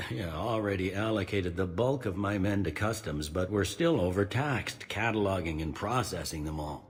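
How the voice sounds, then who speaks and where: Low male voice, middle-aged, world-weary cop commander played by a man, wry, plain-spoken, video game dialogue. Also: normal speed, natural voice. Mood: wry, matter-of-fact.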